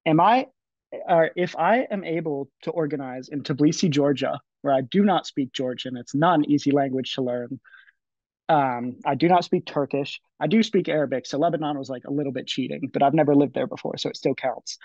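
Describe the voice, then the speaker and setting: A man's voice: on the high side, fairly quick, a little mellow, no echo. A man in his twenties speaking casually on a panel over a video call, with frequent fillers and restarts. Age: 20s. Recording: dry, dull lo-fi audio.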